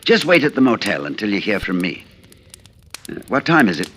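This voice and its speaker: An actor playing a betrayed husband, a bitter and quietly calculating man who speaks in a brooding, deliberate way in an old-time radio suspense drama. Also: on the low side, very animated, slight background noise. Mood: tense, falsely tender. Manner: brooding, deliberate confessional delivery with dramatic pauses. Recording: vintage radio broadcast recording with music.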